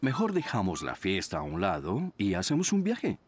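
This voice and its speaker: A man voicing a gentle, cautious father in a cartoon dub, soothing and hesitant. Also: sits low, huge pitch swings, normal speed, music in the background. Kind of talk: animated series dubbing.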